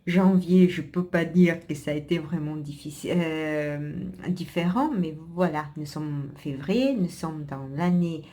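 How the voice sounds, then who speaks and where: A woman's voice: low-pitched, unhurried, mellow. An Italian woman, a native Italian speaker, hosting her own podcast in casual, hesitant chat full of fillers, restarts and small laughs. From Italy. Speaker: podcast host.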